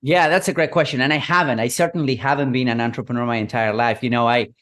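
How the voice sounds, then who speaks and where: A man's voice: medium pitch, quick pace. Argentine man, easygoing rambling guest. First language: Spanish. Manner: relaxed, rambling with many fillers like "you know" and "whatnot". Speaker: guest, digital marketing consultant. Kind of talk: interview guest, storytelling.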